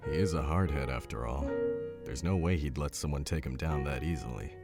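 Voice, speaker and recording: A gruff, stubborn battle survivor played by a man speaks in an extremely low, gravelly voice. The delivery is blunt, in audio drama style, with loud music behind it. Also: a little fast. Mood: weary, stubborn. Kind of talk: amateur audio drama acting.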